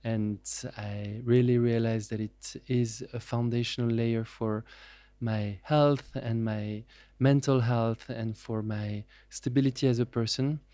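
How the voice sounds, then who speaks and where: Soft-toned man, flat and measured. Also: mid-range voice, dry recording. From France. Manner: measured, reflective, with frequent pauses and fillers. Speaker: podcast guest. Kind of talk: casual interview.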